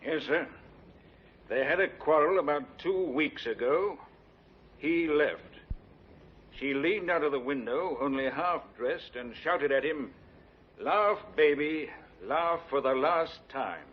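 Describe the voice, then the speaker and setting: Male junior detective, dutiful, matter-of-fact, raspy, higher pitch, vintage radio play, dull recording. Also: expressive, slow, full character voice. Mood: dutiful reporting. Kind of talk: 1950s radio drama acting. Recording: muffled old radio recording.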